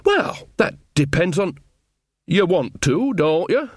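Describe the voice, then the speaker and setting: Audiobook young witch woman, played by a man: high, plaintive, indignant, swooping and easily flustered. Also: sing-song, slow, breathy edge, no room sound, studio quality, exaggerated voice. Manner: hesitant and plaintive, trailing off.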